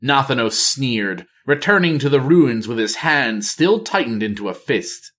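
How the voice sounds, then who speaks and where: A man narrates fantasy fiction in a dramatic audiobook style. His delivery is unhurried, with long measured phrases. His pitch is mid-range and moves expressively. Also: expressive, a bit bright. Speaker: storyteller reading a novel aloud.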